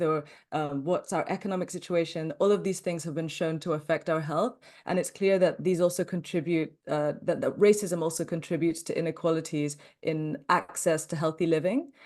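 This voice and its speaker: A woman's voice: on the low side, darkish tone. A woman researcher speaking on a panel, fluent and articulate. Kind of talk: panel discussion.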